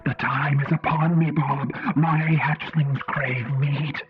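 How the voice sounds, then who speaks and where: A man's voice: on the high side, fairly even intonation. A man voicing a solemn, insistent tentacled alien leader in an audio story, speaking in formal pronouncements through an alien voice filter. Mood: urgent. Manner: slow, stately, formal pronouncements. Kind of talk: audio short story character. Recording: muffled lo-fi filtered sound, music behind.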